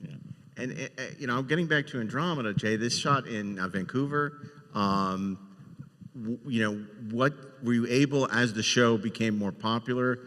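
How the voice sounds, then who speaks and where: A man's voice: mid-range voice, moderate pace, a bit bright. A man hosting a panel, interviewing guests in a conversational way. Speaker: panel host, moderator. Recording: echoey hall with long reverb.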